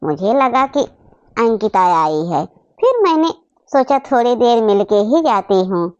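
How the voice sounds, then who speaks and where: A woman plays a cartoon aunt from the family next door, homely and quick to take offence. Her chatty voice is high and closely recorded without echo. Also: sing-song, dull audio. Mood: friendly. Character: friendly neighbouring aunt, easily offended.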